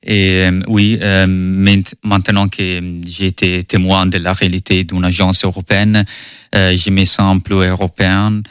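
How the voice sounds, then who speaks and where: A man with an Italian accent answers interview questions in French in a very deep, level voice, measured and dotted with hesitation sounds. His tone is smooth and clear. The sound is close and dry. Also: monotone, a bit bright, dry recording. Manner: measured, with frequent hesitation fillers. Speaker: intern at an EU agency. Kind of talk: interview answers in a second language.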